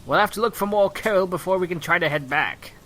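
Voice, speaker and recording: A man's voice: high-pitched, a little fast, pushed and bright. A man playing a good-natured, cautious steam engine crewman in a children's audio drama, speaking in a reassuring, chatty way. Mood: concerned.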